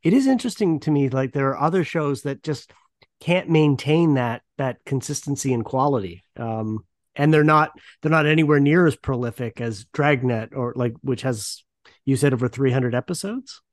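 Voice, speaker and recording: A man's voice: medium pitch, normal speed, dry recording, clean studio sound. A man hosting a podcast, chatting casually as he interviews. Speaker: podcast host.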